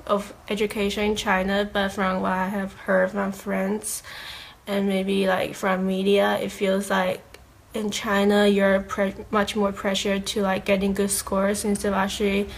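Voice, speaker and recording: A woman's voice: medium pitch, monotone, measured pace, vocal fry, a little hiss or hum, poor audio. A young woman, a college student, answering interview questions in a casual, reflective way with many fillers.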